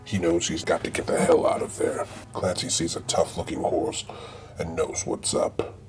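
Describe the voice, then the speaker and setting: A man with a gravelly voice narrating a comedy script in a deadpan, slangy, mock-dramatic way. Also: moderate pace. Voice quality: very deep, gravelly. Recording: music behind the voice.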